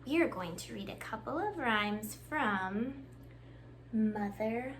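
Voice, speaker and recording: Children's storytime reader: woman, high and lively pitch, expressive delivery, addressing little ones, slight noise behind. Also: takes it slowly. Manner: expressive, addressing young children with simple questions and praise. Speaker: children's librarian, storytime host. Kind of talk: children's storytime read-aloud.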